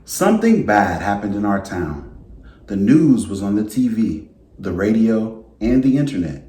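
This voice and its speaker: A man reading a children's picture book aloud as a school principal, in a deliberate, expressive way. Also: on the low side. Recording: roomy, echoey indoor sound.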